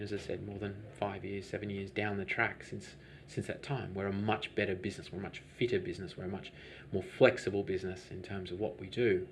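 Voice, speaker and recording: Man, business owner, interview answers: low voice, halting and rambling delivery, faint background hum. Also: creaky. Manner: rambling, hesitant with many restarts and fillers. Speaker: lavender farm business owner.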